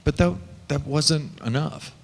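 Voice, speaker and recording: A man speaking at a panel discussion, voicing for a panelist in a deliberate, reflective way with pauses. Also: on the high side, vocal fry.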